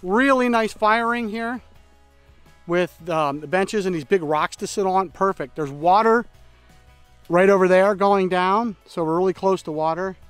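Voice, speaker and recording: A middle-aged man talks in a relaxed, rambling way while out on the trail, filling gaps with little fillers as he goes. His voice sits fairly high for a man. He is recorded outdoors in a forest on a close camera, with faint music behind him. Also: measured pace, clean tone, no echo. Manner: relaxed, chatty, thinking aloud with fillers. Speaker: backpacking vlogger. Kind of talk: casual trail vlog narration. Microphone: camera at close range.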